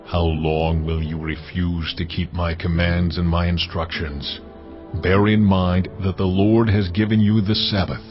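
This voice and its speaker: A man's voice: low-pitched, steady tone, unhurried. A man voicing the Lord as a solemn, commanding divine presence, on dull audio with faint music.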